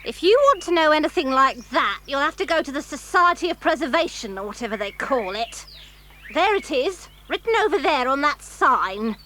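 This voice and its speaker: Grumpy old female gatekeeper, children's audio drama. Curt, officious, swooping high delivery. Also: expressive, moderate pace, pushed and bright. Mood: curt, dismissive. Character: funny, grumpy old woman guarding a castle gate. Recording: clear background noise with animal sounds.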